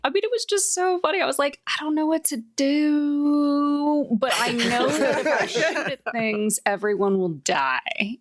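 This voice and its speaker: A woman's voice: high-pitched, lively intonation, a little slow, hardly any pauses. A woman talking casually as a player on a podcast. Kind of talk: casual chat.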